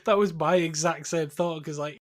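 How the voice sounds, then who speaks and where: A man's voice: on the high side, steady tone, normal speed. A man co-hosting a podcast, talking casually about films with friends. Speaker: podcast co-host. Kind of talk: casual film chat.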